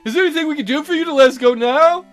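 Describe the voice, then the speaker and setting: A man's voice: very high-pitched, very fast, fairly bright tone, soft background music. A man voicing an exasperated, panicky rich father held hostage in a visual novel, whiny and indignant. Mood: apologetic pleading.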